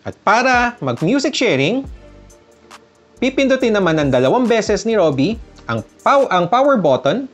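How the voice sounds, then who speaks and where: A woman's voice: medium pitch, sing-song, normal speed, faint music behind. A Filipino woman vlogger narrating a casual step-by-step tutorial. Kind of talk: tutorial narration mixing casual and instructional talk.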